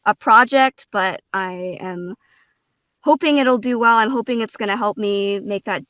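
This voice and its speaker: A woman talks casually as a guest on a roundtable. Her pitch sits in the middle and the sound is dry. She comes across as hesitant and modest, letting phrases trail. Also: unhurried, a bit bright, no room sound. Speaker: voice actor, panel guest.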